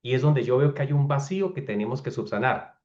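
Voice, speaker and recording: A man's voice: medium pitch, expressive, brisk, a little mellow, lo-fi sound. A man speaking as a friar giving an informal lecture, emphatic and often checking understanding with short questions.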